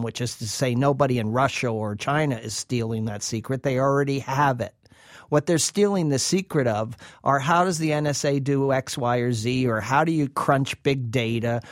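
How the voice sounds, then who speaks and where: A man's voice: medium pitch, dry recording, clean studio sound. A man speaking as an expert interview guest, explaining in an emphatic way and repeating phrases for weight. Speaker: interview guest, researcher presenting a study. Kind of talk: interview commentary.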